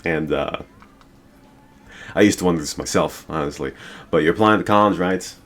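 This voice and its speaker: A very low-voiced man hosting a casual podcast, going off on a rambling rant. He swears freely and hammers his points home, circling back to repeat himself. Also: normal speed, fairly bright tone. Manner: rambling, profane, emphatic. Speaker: host.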